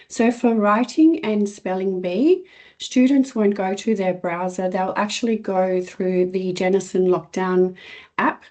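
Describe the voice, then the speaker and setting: Croaky-voiced Australian woman giving instructions. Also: mid-range voice. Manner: steady instructional, many fillers. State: croaky voice with a cough. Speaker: assessment consultant. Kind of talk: webinar walkthrough of a website.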